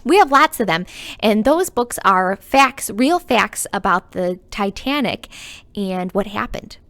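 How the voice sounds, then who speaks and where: Female children's librarian, expressive storybook read-aloud, animated and conversational with young listeners. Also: mid-range voice, unhurried. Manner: animated, chatty, addressing young listeners directly.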